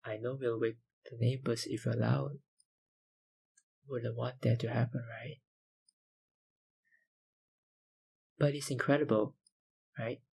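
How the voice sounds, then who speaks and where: A man playing an obsessive, dominant, hungry vampire researcher in an intimate ASMR roleplay, with a breathy, gravelly voice and a softly menacing manner. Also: medium pitch, fairly even intonation, poor audio. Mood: smug. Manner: slow, intimate, softly menacing, lapsing into whispers.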